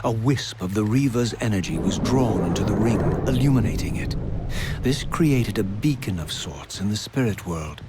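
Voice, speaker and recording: A man's voice: lowish voice, expressive, normal speed, heavy background noise, close to an everyday voice. A man playing a solemn, vengeful undead wraith in a video game, with formal, theatrical diction. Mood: solemn, brooding. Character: vengeful, solemn male undead wraith narrating his quest. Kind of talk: scripted dramatic video game narration and dialogue. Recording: background music under the voice.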